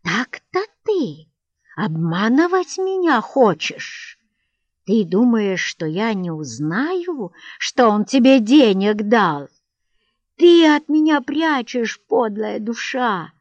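A woman's voice: fairly low pitch, expressive, normal speed, few pauses, a little mellow, no room sound, highs cut off, close to an everyday voice. A woman voicing a furious middle-aged landowner's wife who shrieks and wails reproaches in an audiobook reading. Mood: shrieking. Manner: screeching, wailing reproaches.

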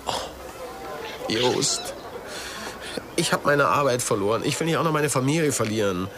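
A man's voice: mid-range voice, lively intonation, normal speed, background noise, natural voice. A man playing a troubled, defensive man of about forty in a radio crime drama, speaking in plaintive, clipped phrases. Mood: rueful, distressed. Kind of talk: naturalistic radio drama dialogue.

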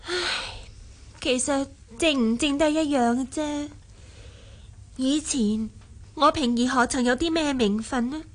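An actress playing a gentle, loyal young household woman in a Cantonese radio drama, composed and mildly reproachful. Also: high voice, unhurried, pauses often. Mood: composed, dutiful.

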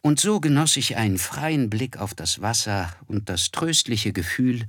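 An actor playing a reflective, polite young man who narrates in a measured literary way in a radio play. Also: medium pitch, fairly bright tone, no room sound. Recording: studio radio play with music underneath.

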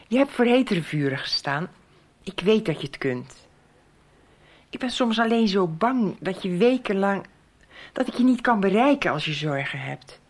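An actress playing a stubborn, driven middle-aged businesswoman and wife in a radio soap. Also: mid-range voice. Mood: exasperated, concerned.

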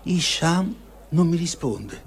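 A man giving a solemn, measured dramatic reading of a first-person diary, with feeling and deliberate pauses. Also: a little high, lively intonation, gravelly, slight background noise. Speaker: reader of a testimony.

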